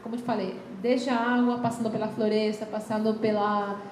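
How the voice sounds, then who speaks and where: A woman's voice: medium pitch, flat delivery, a little fast, darkish tone. Woman speaking Portuguese, echoey room. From Chile. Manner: earnest, hesitant restarts. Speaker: seminar guest. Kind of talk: lecture talk. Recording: echoey room with faint noise.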